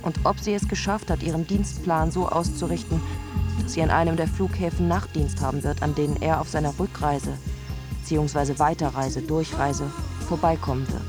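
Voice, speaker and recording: An actress playing a brisk female film director narrating shots in a German radio play. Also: low voice, fairly even intonation, over music, close to an everyday voice.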